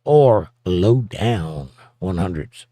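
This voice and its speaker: A man's voice: sits low, lively intonation, drawn-out words, dark tone, no echo, studio quality. A man with a Southern accent hosting a livestream, reading viewer comments in a casual, teasing way and chuckling often. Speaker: livestream host reading chat. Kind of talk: casual chat.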